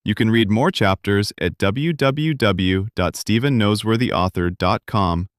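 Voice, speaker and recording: Man, calm audiobook narrator, low voice, dry close sound. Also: dry recording. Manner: calm, measured storytelling.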